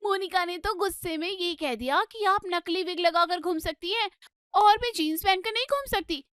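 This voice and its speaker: A woman playing an excitable, gossipy, scolding middle-aged housewife and mother in a comedy story, with a dramatic, exclamatory delivery. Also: very high-pitched, moderate pace, no echo, studio quality. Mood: indignant.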